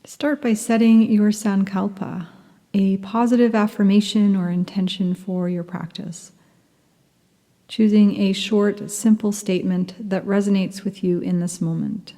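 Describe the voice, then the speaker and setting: Woman meditation guide, very slow and mellow, soft half-whispers, long reverb around the voice. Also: medium pitch, steady tone. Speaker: yoga nidra teacher.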